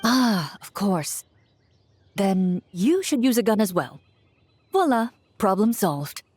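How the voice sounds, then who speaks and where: Courteous champion swordswoman for a game, measured, formal, mid-pitched. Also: animated, darkish tone, husky, no echo. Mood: curious. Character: composed, courteous young female champion duelist. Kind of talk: video game voice acting.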